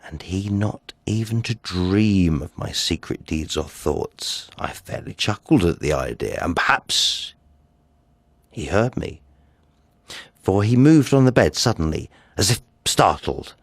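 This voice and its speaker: A man performing a nervous, insane murderer confessing in a dramatic Gothic story reading, theatrical and shifting between hushed and excited delivery. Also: sits low, measured pace, dry recording, heavily acted. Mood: secretive, tense. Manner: theatrical, drops into breathy whispers, swings to excited outbursts. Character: nervous madman murderer confessing, insisting on his sanity.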